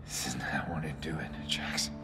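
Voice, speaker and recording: An actor playing a grim, weary middle-aged vigilante in a video game, speaking in terse, strained, low-key lines. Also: male voice, steady tone, a little fast, creaky, in a whisper. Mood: grave, pleading. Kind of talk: video game cutscene acting. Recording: music behind the voice. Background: cinematic music.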